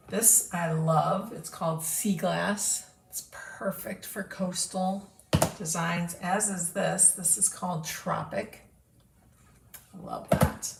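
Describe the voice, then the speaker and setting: Female host, hoarse from losing her voice, slow relaxed product walkthrough, roomy noisy recording. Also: fairly low pitch. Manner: chatty, unhurried, clears throat often. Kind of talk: casual product showcase livestream. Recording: echoey room with background noise.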